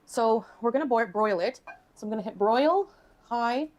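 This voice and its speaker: A woman's voice: on the high side, lively intonation, moderate pace, a little mellow. A woman casually explaining recipe steps as a home cooking vlogger, recorded in her kitchen.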